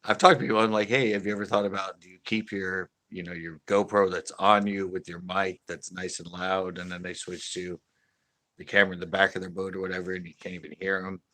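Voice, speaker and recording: A man's voice: sits low, fairly even intonation, a little fast, pushed and bright, no room sound. A man hosting a fishing channel, chatting casually on a live stream, rambling with many fillers and restarts and laughing often.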